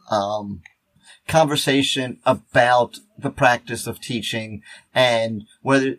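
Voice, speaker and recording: A man, a teacher, co-hosting a podcast in a casual, rambling and halting way. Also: medium pitch, fairly bright tone. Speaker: podcast co-host.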